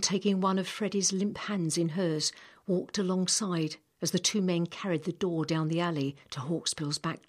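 A woman reading a novel aloud as scripted audiobook storytelling narration. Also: sits low.

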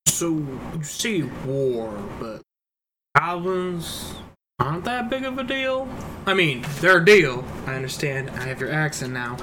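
A man's voice: fairly high pitch, big pitch swings, a little slow, noisy background. A man in a tabletop game, joking offhand with a lot of fillers. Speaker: player. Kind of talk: casual tabletop banter.

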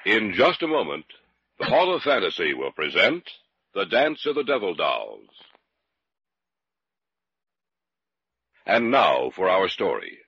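A man's voice: low voice, wildly swooping pitch, normal speed, muffled recording, exaggerated voice. A man playing an ominous, theatrical host of a vintage radio series of supernatural tales, speaking in a grandiose announcing style. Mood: solemn announcing. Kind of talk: 1940s-50s radio drama announcing. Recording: old radio recording, cavernous echo, music.

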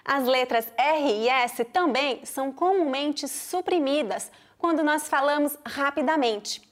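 High-voiced Brazilian woman teaching pronunciation. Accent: Brazilian Portuguese, mixed regional. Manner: clear, deliberate explaining with spoken examples. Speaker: Portuguese teacher. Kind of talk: pronunciation lesson.

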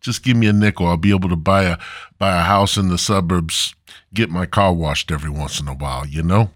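A man hosting a solo comedy podcast, rambling casually and crudely with drawn-out, mock-grandiose theatrical delivery. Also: low-pitched, no room sound, studio quality. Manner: crude, foul-mouthed. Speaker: podcast host.

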